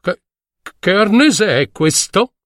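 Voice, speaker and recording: A man's voice: high voice, sing-song, takes it slowly, a bit bright, no room sound, studio quality, voice pushed up, full character voice. A man voicing an eager old royal minister in a fairy tale, courtly, wheedling and inquisitive. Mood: puzzled.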